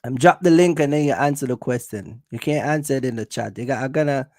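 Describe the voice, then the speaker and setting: A man's voice: mid-range voice, fast, darkish tone, no room sound, clean studio sound. A man hosting a casual livestream, giving business advice straight to viewers in an emphatic, repetitive way. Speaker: host of a trucking business livestream.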